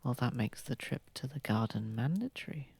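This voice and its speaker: Husky creaky voice, posh vampire lord acted, soothing, formal, protective, ASMR roleplay, close dry mic. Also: medium pitch, expressive, no room sound. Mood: soothing, warm. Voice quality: breathy, husky, slightly creaky. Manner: soft-spoken, soothing, unhurried, slightly formal. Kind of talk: ASMR roleplay, soft intimate acting. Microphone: close microphone, dry.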